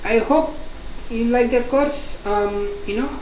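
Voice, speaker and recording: A woman with an Indian accent teaching an online software testing course, explaining quickly and repeating points with frequent restarts. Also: fairly high pitch, slight background noise. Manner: repetitive, restarts often, fast-paced explaining. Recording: echoey room.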